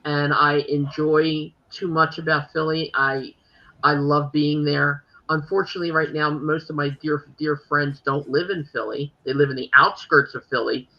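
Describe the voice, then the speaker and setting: A woman's voice: deep voice, fairly even intonation, normal speed, muffled recording. A woman with a Philadelphia accent hosting a podcast, chatting casually and rambling with many fillers. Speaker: podcast host. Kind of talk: casual chat.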